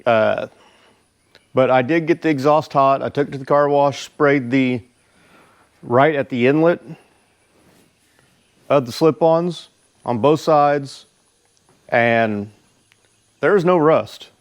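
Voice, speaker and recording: A man giving a casual product review as a motorcycle vlogger, speaking informally and haltingly. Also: medium pitch. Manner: informal, halting, unhurried delivery.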